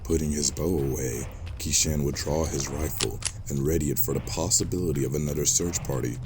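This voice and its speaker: A man's voice: rumbling low, steady tone, brisk, noisy background. A man giving scripted, dramatic narration as the storyteller of an audio drama.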